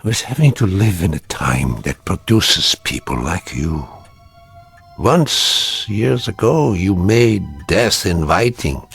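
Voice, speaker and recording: An older actor playing an old man who calmly and gravely defies his would-be killer, in a vintage television drama. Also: low-pitched, heavily acted. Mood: weary. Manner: measured, grave, rising to bursts. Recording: vintage broadcast soundtrack with music.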